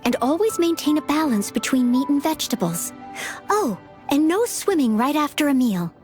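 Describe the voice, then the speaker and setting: A woman's voice: sits high, sing-song, normal speed, darkish tone. A woman voicing an earnest, polite teenage maid and aspiring knight in a video game, eager to please. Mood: earnest, devoted. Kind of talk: video game character voice lines. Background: background music.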